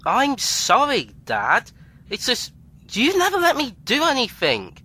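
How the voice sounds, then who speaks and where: Male actor: timid young son, bright and animated, soft and hesitant. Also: very high-pitched, a little slow, husky, full character voice. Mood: apologetic. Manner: hesitant, soft-spoken, then eager. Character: young man, timid, eager son of a commodore. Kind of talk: fan audio drama acting.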